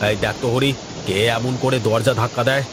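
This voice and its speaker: A man playing a pompous, self-important village gentleman with poetic airs in a cartoon, speaking theatrically. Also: medium pitch, steady tone, quick pace, noisy background, close to an everyday voice. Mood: bossy, pleased. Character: pompous village gentleman who fancies himself a poet. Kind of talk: Bengali cartoon voice acting.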